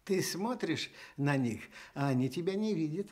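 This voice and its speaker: Man as high-voiced, theatrical kindly storyteller. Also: normal speed, close to an everyday voice. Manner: expressive, lyrical, addresses child as dear friend. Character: kindly storyteller who loves his young listeners. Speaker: storyteller host. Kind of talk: Soviet children's radio storytelling, theatrical.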